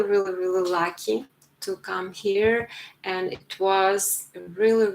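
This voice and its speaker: A woman in her forties with a Ukrainian accent, talking earnestly to her live stream viewers in a halting way, with many fillers and restarts. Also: medium pitch, vocal fry, low-quality recording. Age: 40s. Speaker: writer streaming to her channel. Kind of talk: casual live stream monologue.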